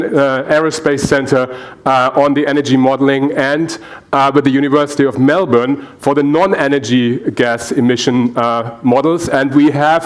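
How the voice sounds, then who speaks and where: A man with a German accent speaks at a conference forum. He presents his energy research haltingly, with frequent ums and uhs between phrases. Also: medium pitch. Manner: hesitant, many fillers.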